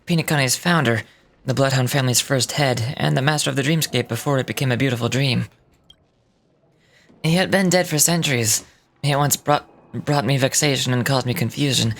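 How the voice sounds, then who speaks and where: A woman's voice: rumbling low, pitched below the performer's own voice. A woman voicing a proud, earnest male hound officer in a video game, reading his dialogue in a solemn, formal, storytelling manner. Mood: solemn, admiring.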